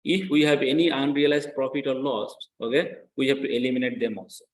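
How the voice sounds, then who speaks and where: Man, non-native English, classroom lecture, roomy echo, repetitive step-by-step explaining, frequent check questions, medium pitch. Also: steady tone, brisk. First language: not English. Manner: repeats phrases, frequent check questions to students. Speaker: accounting lecturer. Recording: echoey room sound.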